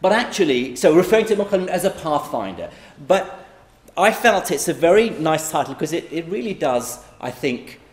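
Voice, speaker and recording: A man's voice: a little high, big pitch swings, normal speed. A middle-aged man from Iraq giving a conversational public lecture, with frequent fillers and restarts. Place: lecture hall. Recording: echoey lecture hall.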